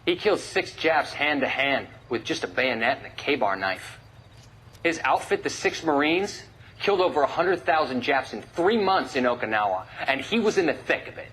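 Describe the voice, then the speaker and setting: An actor playing an earnest young war veteran in a game cutscene, speaking in a deferential, confiding manner. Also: male voice, a little high, sing-song, moderate pace, a bit bright, breathy edge, full character voice. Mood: pointed, proud. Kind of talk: video game cutscene acting.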